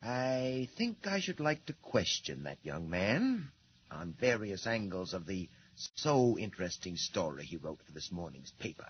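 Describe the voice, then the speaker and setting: An actor playing a suave, menacing criminal mastermind with formal, mannered phrasing in 1940s radio style. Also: male voice, low-pitched, sing-song, a little slow. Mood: silky menace. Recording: noisy, dull 1940s radio recording.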